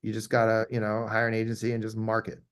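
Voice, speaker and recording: A man's voice: lowish voice, completely flat delivery, fast talker, smooth. Emphatic man in a business interview. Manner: emphatic, repeats key phrases. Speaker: law firm owner being interviewed.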